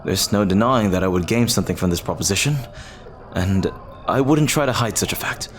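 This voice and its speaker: A lovestruck pirate captain, played by a man in an audio roleplay. His voice is low and deep. He speaks earnestly and hesitantly, with tender, formal, old-fashioned phrasing. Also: expressive, fairly quick. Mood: tender, pleading. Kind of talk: ASMR roleplay acting.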